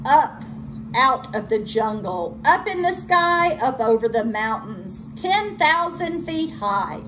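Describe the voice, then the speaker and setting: A woman narrating a children's storybook aloud in an expressive teacher's read-aloud style. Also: fairly high pitch, slow, background noise. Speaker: elementary school teacher reading aloud.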